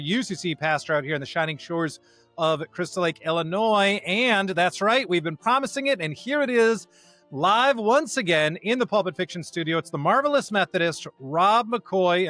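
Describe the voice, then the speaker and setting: A man's voice: high-pitched, sharp tone. An American man, a pastor co-hosting a podcast, speaking in an upbeat, emphatic way as he introduces and chats casually. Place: studio.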